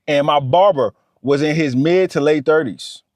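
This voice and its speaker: A man's voice: fairly high pitch, moderate pace, darkish tone, no echo, clean studio sound. A man, giving a motivational talk to camera. He talks straight to the viewer and repeats his points. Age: 30s. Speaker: vlogger.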